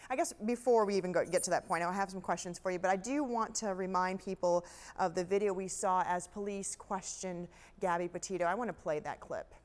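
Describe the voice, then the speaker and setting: A woman's voice: lowish voice, a little fast, hardly any pauses. A woman news anchor presenting and interviewing in a broadcast style.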